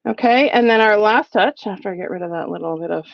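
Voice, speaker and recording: A woman's voice: mid-range voice, fast, vocal fry, no room sound, dull audio. A woman in her forties, a little sniffly, giving a chatty live crafting tutorial from her home craft room and thinking aloud as she works. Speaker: crafting demonstrator.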